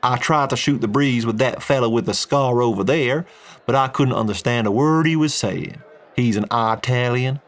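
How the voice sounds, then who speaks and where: A man's voice: medium pitch, moderate pace, pitched above the performer's own voice, natural voice. A man voicing a blunt, boastful middle-aged oil tycoon. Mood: loud, jovial. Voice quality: folksy drawl, tobacco-spitting. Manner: loud, plain-spoken, boastful.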